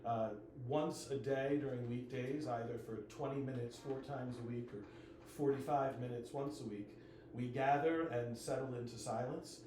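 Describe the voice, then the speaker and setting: A man, an enrollment manager, giving a relaxed school open house presentation with frequent ums. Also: mid-range voice, moderate pace. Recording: very echoey room, faint noise.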